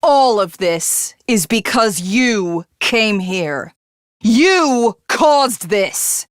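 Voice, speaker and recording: A woman's voice: medium pitch, animated, drawn-out words, no echo, studio quality. A woman voicing a haughty, pompous alien warlord who craves godhood, in theatrical video game villain style with formal, condescending diction. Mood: cold, accusing.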